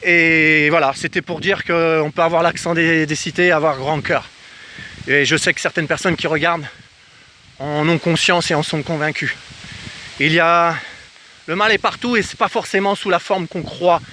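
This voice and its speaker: A man from France telling a casual personal travel story to camera in an animated way, acting out bits of dialogue as he goes. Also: on the high side, normal speed, a little hiss or hum. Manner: animated, acts out reported dialogue. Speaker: travel vlogger. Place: outdoors in the countryside.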